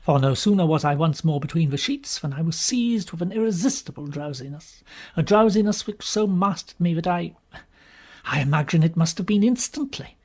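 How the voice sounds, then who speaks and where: A man reading a story aloud in an expressive, theatrical first-person style with deliberate pauses, his voice husky and breathy. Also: on the high side. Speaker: audiobook reader.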